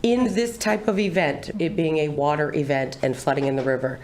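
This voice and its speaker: A woman's voice: low voice, steady tone, brisk, darkish tone, slight background noise. A woman town official answering a resident's question in a businesslike, explanatory way. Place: town council meeting room.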